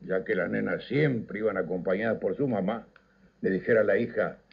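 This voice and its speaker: An older man with a Uruguayan Rioplatense accent, telling nostalgic stories on radio in a theatrical, declamatory way with dramatic pauses. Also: lowish voice, gravelly, husky. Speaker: radio storyteller and host. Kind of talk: nostalgic scripted radio narration. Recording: slightly dull, lo-fi radio recording.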